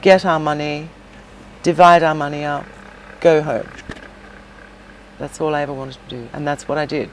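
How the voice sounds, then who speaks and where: Middle-aged woman, low-pitched, chatty guest, loose conversational delivery, faint noise under the voice. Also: steady tone, normal speed, low-quality recording. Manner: chatty and warm, many fillers and restarts. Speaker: podcast guest, shop owner and former singer. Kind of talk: casual interview chat.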